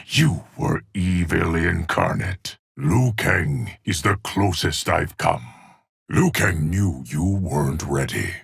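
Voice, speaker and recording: Game casting: an ageless, solemn keeper of time, low rumbling gravel, dry close sound, measured formal pronouncements. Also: male voice, no room sound, exaggerated voice. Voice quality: deep, gravelly, husky. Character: solemn ageless immortal male guardian of time. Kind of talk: video game character voice lines.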